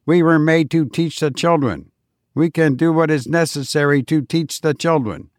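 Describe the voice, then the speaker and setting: A man voicing a calm, strict robot teacher who speaks flatly and without feeling. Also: on the high side, no echo, clean studio sound, voice pushed up, natural voice. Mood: stern. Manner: flat, unfeeling, measured.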